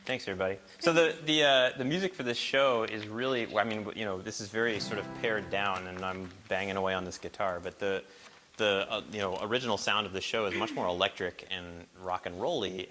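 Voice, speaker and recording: Low bright-voiced man chatting between songs. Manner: halting, informal, thinking aloud. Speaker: musician introducing songs. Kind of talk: casual talk between songs at a live performance. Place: barn, live before a small audience. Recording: live barn recording with noticeable hiss.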